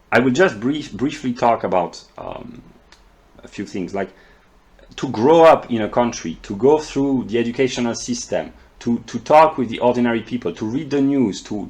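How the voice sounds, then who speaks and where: A man's voice: medium pitch, darkish tone. A man from France with a French accent, speaking English as a second language in an unscripted philosophical monologue, often stammering and repeating words as he searches for terms. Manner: measured, explanatory, professorial. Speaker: philosophy commentator.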